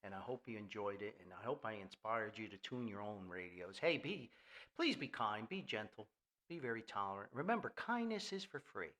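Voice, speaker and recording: A man's voice: on the low side, expressive, moderate pace, a bit bright. An older man hosting a casual, rambling podcast in a folksy, jokey manner. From Paterson, New Jersey, US. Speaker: podcast host.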